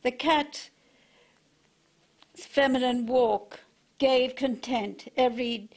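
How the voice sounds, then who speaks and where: A woman's voice: high voice, slow pace. A woman reciting her own poetry, with a personal introduction. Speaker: poet reading own work.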